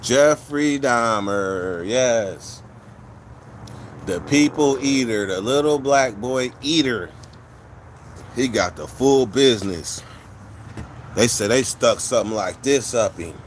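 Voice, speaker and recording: A man's voice: mid-range voice, slow pace, pauses often, slight background noise. A man from California, hosting a livestream and chatting casually with viewers in blunt, profane street slang, rambling from one story to the next. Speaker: livestream host.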